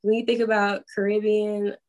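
A woman singer answering interview questions in a relaxed, chatty way, laughing easily and using lots of fillers. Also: medium pitch, normal speed. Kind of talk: casual interview chat. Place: Atlanta.

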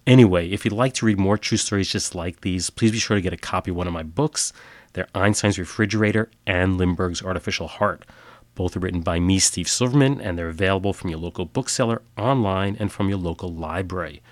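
A man's voice: low voice, brisk, no echo. A man hosting a podcast, reading odd true stories in a plain, matter-of-fact way, a little nasal from a cold. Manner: plain matter-of-fact delivery with filler uhs in sign-off. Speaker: podcast host. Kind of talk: scripted storytelling narration.

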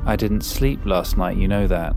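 A man's voice: low voice, moderate pace, over loud music. An actor playing a proud, impatient man in charge, curt and controlling, in an audio drama.

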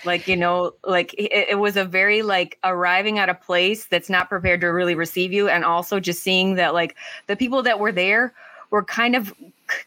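A woman's voice: lowish voice, fairly even intonation, moderate pace, bright, forceful tone, dry recording. A woman hosting an interview in a casual, conversational style. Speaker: interview host.

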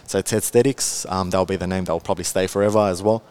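A man in his twenties with an Australian accent and a fairly low voice. He talks as a guest on a podcast, relaxed and chatty, with plenty of fillers and easy laughs. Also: quick pace, clear, resonant tone. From Melbourne, Australia. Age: 20s. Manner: chatty, laid-back, many fillers, laughs often. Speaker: guest, content creator. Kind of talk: casual podcast interview.

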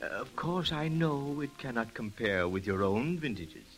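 An actor playing a courtly eccentric king hosting a banquet, with ornate, theatrical, halting speech in 1930s radio style. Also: medium pitch, lively intonation, close to an everyday voice. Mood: gracious. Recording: old 1930s radio recording, music behind.

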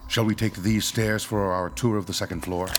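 A man plays a stern doctor in charge of a ghost-hunting team, with a low voice. He speaks formally and precisely, like a solemn lecturer in a radio horror play. Faint music runs behind him. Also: fairly even intonation, moderate pace, lightly acted. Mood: grave, ominous. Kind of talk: radio horror drama acting.

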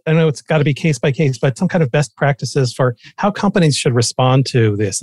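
A man's voice: mid-range voice, darkish tone, no room sound. Man moderator, dry close sound. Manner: thinking aloud, hedged questions. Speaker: panel moderator. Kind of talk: asking a question on a panel.

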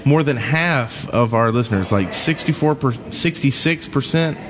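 A man's voice: medium pitch, expressive, moderate pace. Chatty drawling man host, live podcast, convention crowd behind. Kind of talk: casual chat. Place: convention hall.

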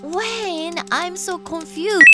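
An actress playing a confused fiancée woken by a phone call in an audio drama, questioning and bewildered. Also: female voice, very high-pitched, extremely slow, loud music behind the voice, full character voice.

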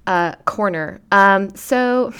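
A woman's voice: medium pitch, extremely slow, fairly bright tone, smooth. A woman hosting an interview, playful and enthusiastic, teasing her guest. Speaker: interviewer, host. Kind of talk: casual interview chat.

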